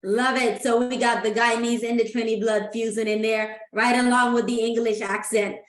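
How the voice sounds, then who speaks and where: A woman's voice: a little high, level tone, normal speed. A woman hosting an interview, chatty and enthusiastic as she asks her questions. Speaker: host. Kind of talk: casual interview. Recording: roomy echo.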